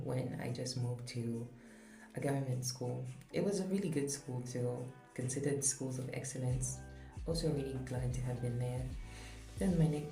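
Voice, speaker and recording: Gravelly young vlogger, echoey room. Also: mid-range voice. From Zambia. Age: young adult. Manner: earnest and candid, with light laughs. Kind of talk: personal opinion monologue. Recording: echoey room with background music.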